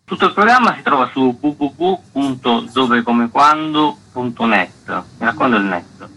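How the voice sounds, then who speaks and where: A man's voice: medium pitch, lively intonation, moderate pace, bright, forceful tone, breathy edge, poor audio. A man speaking as a festival director, explaining things as a guest in a radio interview.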